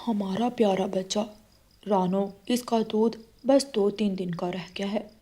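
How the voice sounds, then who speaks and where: A woman's voice: mid-range voice, a little slow, warm tone, breathy edge. A woman voicing an earnest, hardworking father sparrow in a cartoon story, with a plaintive manner. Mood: tender, determined.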